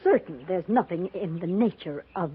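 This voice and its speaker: A woman's voice: fairly low pitch, lively intonation, normal speed, husky. A middle-aged woman playing a haughty, moralizing matron in a 1950s radio drama, prim and proper. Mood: prim, suspicious. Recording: noisy, tinny old radio recording.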